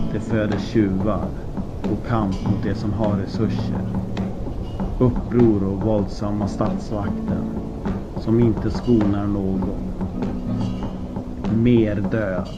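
Low-voiced man narrating, muffled noisy recording. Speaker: audiobook narrator. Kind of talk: scripted story narration. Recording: muffled lo-fi with clear background noise.

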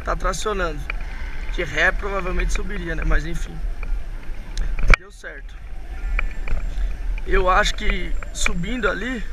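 A hoarse man with a Brazilian Portuguese accent talks in a chatty, rambling way, calling his viewers family. His voice sits high for a man. It was recorded outdoors on an action camera on the handlebars, with loud road and wind noise behind him. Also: unhurried, long pauses. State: hoarse from a cold. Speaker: vlogger. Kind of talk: casual vlog talk while riding. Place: outdoors on city streets, riding an electric tricycle.

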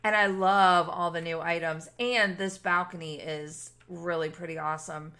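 A woman hosting a gaming video with casual, gushing, expressive commentary aimed at her viewers. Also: on the low side, normal speed.

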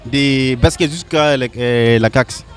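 A man's voice: mid-range voice, soft background music, some chatter behind. Teasing young male street interviewer. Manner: teasing, asks quick-fire questions. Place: outdoor city square. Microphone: handheld mic.

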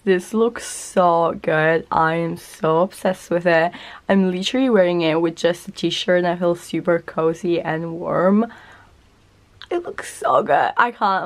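A young woman chatting casually to camera in her flat while recovering from a cold, hoarse and gushing. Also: lowish voice. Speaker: vlogger. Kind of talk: casual vlog chat. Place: small flat, indoors.

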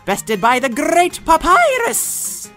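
A bombastic cartoon skeleton man who fancies himself a great cook, played by a woman. The voice sits high and swings widely in pitch. Delivery is theatrical and boastful, drawn out for effect, over soft music. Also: huge pitch swings, slow, heavily acted. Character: bombastic, exasperated male cartoon skeleton, proud spaghetti cook. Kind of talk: comic dub cartoon acting.

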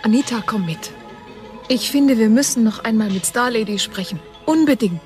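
A woman acts a headstrong young woman in a science fiction radio drama. She talks bluntly and casually in a lightly high, impatient voice, with soft music underneath. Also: lively intonation, normal speed, close to an everyday voice. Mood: insistent. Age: young adult. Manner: colloquial, blunt.

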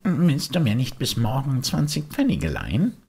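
Naive wooden puppet boy, storybook, high pitch, husky, eager. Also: huge pitch swings, normal speed, darkish tone, full character voice. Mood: curious, pleading. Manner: eager, impulsive, chattering. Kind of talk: children's storybook character voice.

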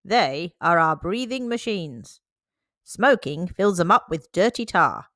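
A woman reading the lines of a brisk, no-nonsense male dog doctor in a picture book, firm and explanatory. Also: on the low side, lively intonation, a bit bright, dry recording, clean studio sound. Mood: lecturing.

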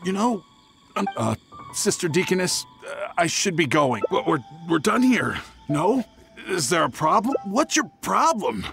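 A shifty, whining bandit in an anime game. He is nervous and blustering, with a gravelly creak and pitch that swings all over the place. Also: very animated, measured pace, fairly bright tone, faint music behind, exaggerated voice. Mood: nervous, defensive. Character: shifty, whining male bandit posing as injured hunter. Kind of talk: anime-style video game voice acting.

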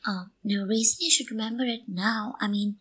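An Indian-accented woman playing a mocking, gleeful ghost of forgotten lines in a radio play, with theatrical drawn-out delivery and a breathy voice. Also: mid-range voice, fairly bright tone. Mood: gleeful taunting.

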